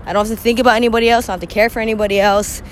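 A young woman talks through her solo podcast with a scratchy voice from a cold. She is chatty and candid, clearing her throat now and then. Faint traffic noise sits behind her. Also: on the high side, level tone, rapid-fire. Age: young adult. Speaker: podcast host. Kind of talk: casual solo podcast monologue.

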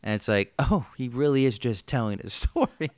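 A man co-hosting a podcast in casual chat, animated and opinionated, often jumping in mid-thought. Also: mid-range voice, moderate pace, no echo. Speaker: podcast co-host.

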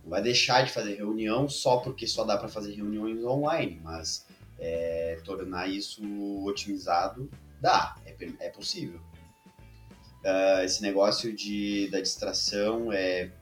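A man co-hosting a podcast, speaking in a relaxed, conversational way with hesitant fillers. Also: fairly low pitch. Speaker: podcast co-host. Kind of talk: casual chat. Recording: roomy echoey room.

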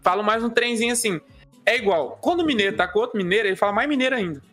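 A man's voice: high voice, very fast, soft background music. A man with a Minas Gerais accent, a guest comedian chatting and joking on a casual podcast. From Minas Gerais, Brazil. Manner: joking, animated, acts out quoted voices.

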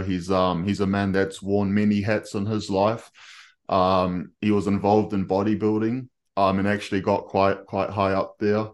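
Laid-back, low-voiced man hosting. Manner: laid-back street slang, "bro" and "man". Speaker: podcast host. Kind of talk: casual interview hosting.

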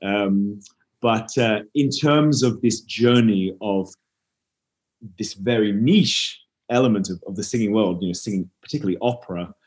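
A man's voice: lowish voice, moderate pace, a little mellow. A man in his thirties, raised in Australia, chatting modestly as a podcast guest, with many "you know" fillers. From Scotland, raised in Western Australia. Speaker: guest, opera singer and nutritionist. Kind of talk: relaxed conversational interview.

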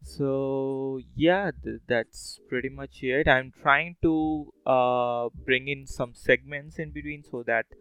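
A man's voice: mid-range voice, steady tone, slow, few pauses, a bit bright, smooth, no echo, highs cut off. A man hosting a podcast solo, talking casually in a rambling, hesitant, informal way. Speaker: podcast host.

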